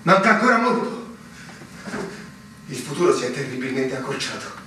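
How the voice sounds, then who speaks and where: An actor playing a weary, pensive fortress captain in a measured theatrical manner. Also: male voice, medium pitch, sing-song, lightly acted. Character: weary fortress officer captain, ageing, waiting and doubting. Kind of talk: Italian stage drama acting. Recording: echoey stage recording, distant mic, clearly noisy.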